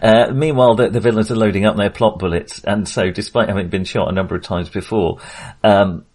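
A man's voice: fairly low pitch, steady tone, fairly quick, darkish tone. A man co-hosting a film podcast, chatting casually and hesitantly as he thinks his opinions through aloud.

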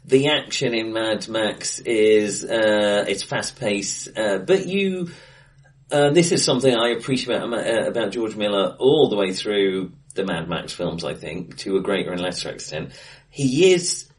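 A man co-hosting a casual film discussion, hesitant and full of restarts, a few drinks in, recorded face to face in an acoustically bright room. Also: on the low side, lively intonation, a little slow. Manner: hesitant, many ums and restarts, laughs often. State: a few pints in. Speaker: podcast co-host.

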